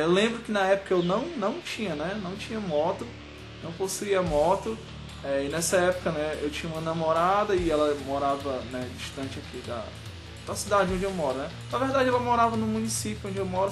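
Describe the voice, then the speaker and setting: A man, talking casually to camera as a vlogger, in a halting way full of verbal tags. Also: sits high. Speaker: motorcycle vlogger. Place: small room at home, indoors. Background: music.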